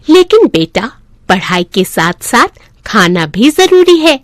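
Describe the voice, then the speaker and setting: Woman acting as loving mother, encouraging, chiding, unhurried, high voice, storybook dialogue. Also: no echo, studio quality. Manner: affectionate, chiding. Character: loving, encouraging working-class mother, fondly scolding.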